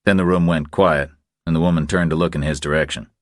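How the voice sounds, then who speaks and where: A man's voice: rumbling low, fairly even intonation, fast talker, no echo, studio quality. A man reading scripted thriller fiction as an audiobook narrator.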